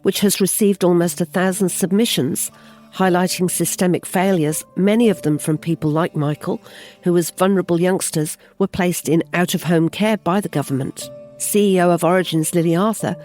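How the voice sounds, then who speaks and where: Podcast host reading steady narration. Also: medium pitch, moderate pace, soft background music. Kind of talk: scripted narration between interview segments.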